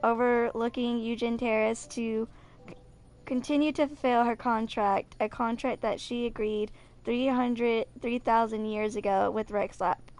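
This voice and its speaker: A young woman with a fairly high-pitched voice gives casual video game commentary. She talks like a streamer at home with her game and trips over the odd word when reading aloud. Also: steady tone, unhurried, darkish tone. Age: 20s.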